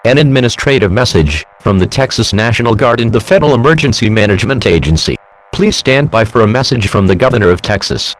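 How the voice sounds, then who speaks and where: A man's voice: lowish voice, animated, fast talker. A synthetic male voice playing an impersonal emergency broadcast announcer, formal and detached. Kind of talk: scripted emergency alert announcement.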